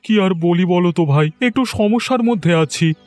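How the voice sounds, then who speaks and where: Poor, hopeful cartoon villager voiced by a man: high male pitch, humble, hesitant, earnest pleading, soft music bed. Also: normal speed, close to an everyday voice. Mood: troubled hopeful. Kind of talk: cartoon story voice acting.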